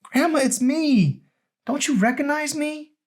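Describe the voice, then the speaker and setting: A man's voice: very high voice, measured pace, pitched above the performer's own voice. A man voicing a frightened but loving teenage grandson in an audiobook, pleading in an urgent, strained way.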